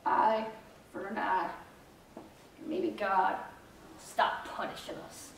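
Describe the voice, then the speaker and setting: A woman playing an excitable, horrified young small-town witness, telling the story in a dramatic stage style. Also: medium pitch, slow, vocal fry, a little hiss or hum. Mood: mournful. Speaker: actor in a student readers theater group. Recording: very echoey hall, distant mic.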